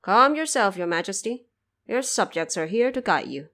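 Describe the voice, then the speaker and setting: A woman voicing a solemn male judge of the underworld in an audio drama, with formal, deliberate, grave recitation. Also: medium pitch, expressive, a bit bright. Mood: calm, reassuring.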